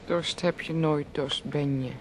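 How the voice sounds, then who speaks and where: A woman's voice: low voice, fairly even intonation, a little slow, a little hiss or hum. An actress playing a dreamy, teasing woman who rambles in musing repetitions in a Dutch radio play. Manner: musing, repetitive, breaks into soft laughs.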